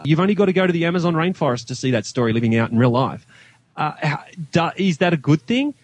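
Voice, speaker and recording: Australian man, higher-pitched voice, talking film. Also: animated, fast, slightly rough, no room sound. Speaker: podcast guest reviewing a film. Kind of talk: casual film discussion.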